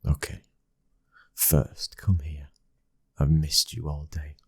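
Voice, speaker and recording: A British man acting a commanding mafia boss's son, a stern but loving husband, in an intimate ASMR roleplay with a husky voice. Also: very deep voice, level tone, slow, darkish tone, full character voice. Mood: tender, teasing.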